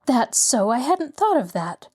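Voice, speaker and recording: A woman's voice: high voice, very animated, a little slow, a little mellow, husky, voice pushed up, full character voice. A woman voicing a genteel middle-aged lady in distress who pleads breathlessly and near tears. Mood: eager, fluttery.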